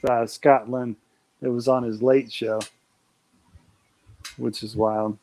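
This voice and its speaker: A man's voice: mid-range voice, level tone, takes it slowly, dark tone, poor audio. Rambling, enthusiastic male stream host. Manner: rambling, enthusiastic, many fillers and restarts. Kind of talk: casual chat.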